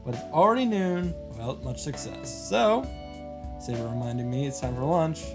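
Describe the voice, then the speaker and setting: A man's voice: medium pitch, very animated, moderate pace. Man narrating a game over music. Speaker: visual novel streamer and narrator. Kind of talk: reading game text aloud with reactions and summary commentary.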